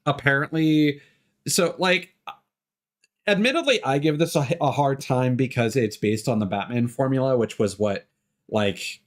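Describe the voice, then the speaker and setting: Male game streamer, low register, loose rambling commentary with dry, sweary humor. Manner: rambling, sweary, dryly joking. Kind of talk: casual live gameplay commentary.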